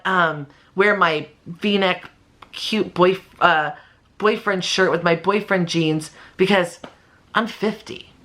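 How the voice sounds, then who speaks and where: Woman, fifties, very lively intonation, medium pitch, emphatic, candid, conversational vlog delivery. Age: 50s. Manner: animated and emphatic, acts out imagined remarks. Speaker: video creator and channel host. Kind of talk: casual personal motivational vlog talk.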